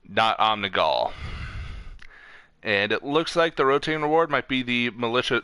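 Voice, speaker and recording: A man's voice: mid-range voice, pushed and bright, smooth, no room sound. A man hosting a casual gaming stream, reading off lists informally, stumbling over words and correcting himself. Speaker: streamer host.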